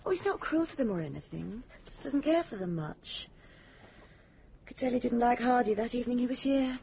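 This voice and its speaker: A woman's voice: fairly high pitch, lively intonation, moderate pace, creaky, dark tone, a little hiss or hum. An actress playing an eager, anxious middle-aged English mother in a radio drama, chatty and placating. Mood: fond, placating.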